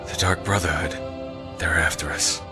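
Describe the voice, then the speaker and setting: An actor playing a gruff, guarded guardian in a fantasy game, terse, menacing and world-weary. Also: male voice, low voice, steady tone, moderate pace, music in the background, close to an everyday voice. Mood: wary. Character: gruff, guarded male guardian, wary and threatening. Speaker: video game character. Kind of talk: scripted fantasy game dialogue.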